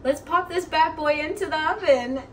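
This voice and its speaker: A woman's voice: high voice, normal speed, a bit bright. A woman in her twenties casually narrating a home cooking vlog, recorded in a kitchen from a few steps away. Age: 20s. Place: small home kitchen, indoors. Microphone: camera or phone a few steps away. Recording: distant mic, noisy kitchen ambience.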